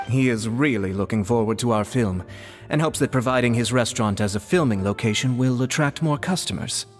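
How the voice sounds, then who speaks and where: A man's voice: medium pitch, a little fast, in a large hall, music in the background, natural voice. An actor playing a courteous, composed male film crew member in a video game, speaking formally.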